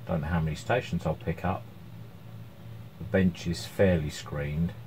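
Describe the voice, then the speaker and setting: A man's voice: sits low, fairly even intonation, unhurried, darkish tone, poor audio. A middle-aged man giving an informal, hesitant workbench demonstration, thinking aloud as he goes. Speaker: electronics hobbyist presenting his repair. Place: small cluttered indoor workshop at home. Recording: clear background noise, room ambience.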